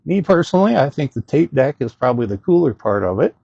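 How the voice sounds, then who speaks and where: Gravelly, husky man, casual gadget reviewer. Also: mid-range voice, very animated, fast talker, warm tone, no echo, highs cut off. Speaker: host of a gadget review video. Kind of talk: casual unscripted product demo and review.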